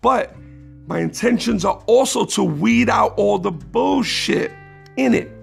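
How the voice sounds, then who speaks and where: A man hosting his own podcast in a casual, rambling monologue, his voice raspy, with many restarts that turn emphatic. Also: sits high, expressive, a little slow, faint music behind. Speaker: podcast host.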